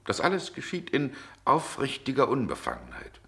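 An actor playing a brooding, world-weary middle-aged gentleman in a 1960s German radio drama, with genteel, measured diction. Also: mid-range voice, huge pitch swings, gravelly, exaggerated voice. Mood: wry, lecturing.

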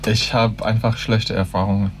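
A man's voice: lowish voice, little pitch movement, normal speed, a little hiss or hum, close to an everyday voice. A man playing an earnest, slightly naive musician in a naturalistic radio drama, with a questioning, hesitant manner. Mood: earnest, wary.

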